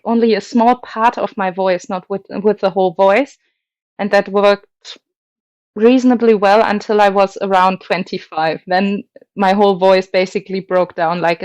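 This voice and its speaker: A woman's voice: medium pitch, a little mellow, no echo. Dry-voiced woman, hesitant opera guest. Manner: thoughtful, hesitant, many fillers and restarts, laughs often. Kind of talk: casual interview chat.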